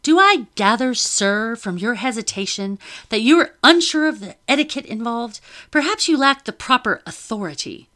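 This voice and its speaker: A woman reading an audiobook and voicing a haughty, imperious lady with a crisp, indignant manner. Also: a little high, lively intonation, moderate pace, bright, forceful tone, pitched above the performer's own voice.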